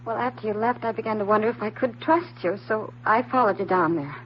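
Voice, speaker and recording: A woman playing a frightened, naive and anxious woman in an old-time radio drama, speaking haltingly and earnestly. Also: mid-range voice, natural voice. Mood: anxious, confessing. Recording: dull, slightly noisy old radio recording.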